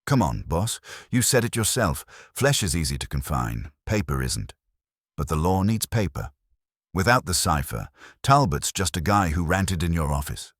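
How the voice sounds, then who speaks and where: A man plays a flippant detective's assistant in an audiobook. He needles in colloquial wisecracks, with a very low, husky voice that swings in pitch. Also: sing-song, normal speed, no room sound, clean studio sound, heavily acted. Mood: urging. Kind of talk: audiobook character dialogue.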